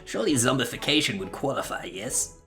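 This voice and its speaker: Game inquisitor, played by a man: haughty, zealous, suspicious, archaic wording, animated delivery. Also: medium pitch, a little fast, soft background music. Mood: zealous, irritated. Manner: pompous, haughty, archaic diction. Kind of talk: melodramatic video game dialogue reading.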